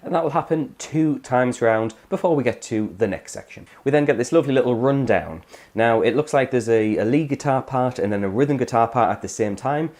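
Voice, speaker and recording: Hoarse man teaching guitar. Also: medium pitch, a little fast. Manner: relaxed, step-by-step explaining. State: hoarse from a cold. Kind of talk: casual guitar tutorial.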